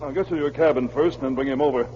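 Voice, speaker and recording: A man acting a steady, kindly police sergeant of the frozen North in 1940s radio drama style, authoritative and reassuring. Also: mid-range voice, rapid-fire, lightly acted. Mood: urgent, reassuring. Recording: muffled, hissy old radio recording.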